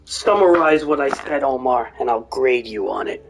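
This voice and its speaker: A young man streaming a game, rambling and ranting, swearing now and then, his voice going hoarse. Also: medium pitch, animated, moderate pace, background noise. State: voice getting hoarse. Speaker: gaming streamer. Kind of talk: rambling live-stream chat.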